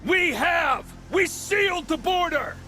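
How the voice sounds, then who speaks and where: A man voicing a pompous, blustering border sentry in a fantasy video game, loud and declamatory. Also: very high-pitched, expressive, slow pace, soft background music, full character voice. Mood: defiant shouting. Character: blustering sun-worshipping border gate sentry in a fantasy world.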